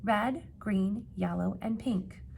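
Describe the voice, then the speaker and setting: A woman reading a children's picture book aloud like a teacher, with expressive emphasis and questions put to young listeners. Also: mid-range voice, gravelly, slightly rough. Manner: expressive, dramatic gasps and emphasis, pausing to ask questions.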